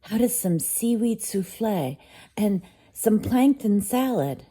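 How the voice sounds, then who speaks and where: Woman as an eager little boy fish, storybook, raised pitch, proud, polite, pleading. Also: lively intonation, slow pace, mellow, husky. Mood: proud, keen.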